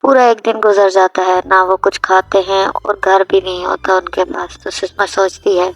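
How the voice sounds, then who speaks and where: A woman's voice: medium pitch, flat delivery, normal speed. A woman reading a scripted story as its narrator, with music playing behind her. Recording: dull lo-fi audio, music behind. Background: background music.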